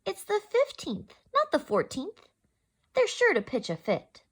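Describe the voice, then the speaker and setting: Woman playing a plaintive, high-voiced storybook girl. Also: huge pitch swings, a little slow, exaggerated voice. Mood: worried. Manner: plaintive, emotive. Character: sweet, earnest, anxious storybook girl who loves Valentine's.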